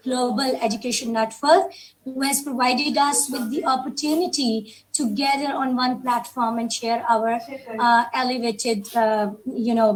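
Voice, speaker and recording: High-voiced woman moderating a video call. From Pakistan. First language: Urdu. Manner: polite, formal, hesitant with many fillers. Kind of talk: formal online event moderation. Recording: roomy, distant video-call audio with crowd noise.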